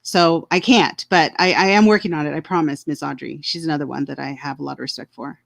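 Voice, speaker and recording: A Southern woman co-hosts a casual chat, speaking candidly and laughing often. Her voice sits on the low side, and the recording is dry and close. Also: fairly even intonation, fast talker, gravelly, no room sound. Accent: Southern American. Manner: candid, laughs often, talks straight to commenters. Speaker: co-host of a chat stream. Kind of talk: casual chat reading viewer comments.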